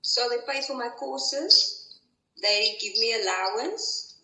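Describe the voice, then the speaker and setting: A young woman who is a student explains her course and bursary in a casual way. Her tone is bright and a little high. The audio sounds roomy. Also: animated, slow pace. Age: young adult. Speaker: university student.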